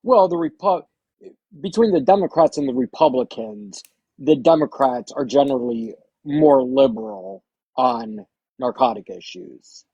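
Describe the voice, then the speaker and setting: Gravelly, hesitant male caller. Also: a little high, very animated, mellow, no echo, muffled recording. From Indiana. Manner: hesitant, halting. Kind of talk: casual call-in chat.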